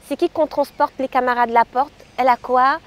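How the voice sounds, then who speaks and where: Soft-spoken woman from Cameroon, outdoors. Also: sits high, fairly even intonation, a little fast. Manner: hesitant, with frequent fillers. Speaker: patient interviewee. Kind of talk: personal testimony. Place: outdoor park by a tree.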